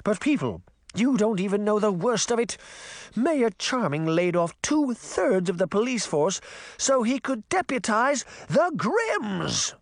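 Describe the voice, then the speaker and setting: A man voicing a pompous, furious fairy-tale queen in an audiobook, with a high, strident voice. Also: very animated, a little slow, vocal fry, no room sound, clean studio sound, exaggerated voice. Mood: raging. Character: shrill pompous fairy-tale queen, middle-aged, ranting. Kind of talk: audiobook character voice.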